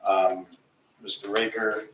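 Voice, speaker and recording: A man's voice: lowish voice, level tone, slow, fairly bright tone. A man speaking as an attorney before a planning board, formal and dotted with hesitations, recorded in a town hall room. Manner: formal, many uh fillers. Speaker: attorney for an applicant. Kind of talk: formal testimony. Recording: echoey room, distant mic, faint noise.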